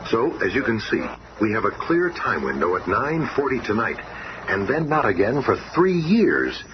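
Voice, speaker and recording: Casting: male actor as earnest scientist leader for radio serial, mid-pitched with dramatic swings, dull-sounding noisy audio. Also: sing-song, moderate pace, lightly acted. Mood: commanding, urgent. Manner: declarative, dramatic.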